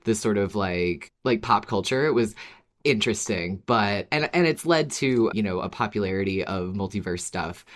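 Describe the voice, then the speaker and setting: Podcast co-host with a low register, informal and enthusiastic conversational delivery. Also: animated, normal speed. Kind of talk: casual podcast chat.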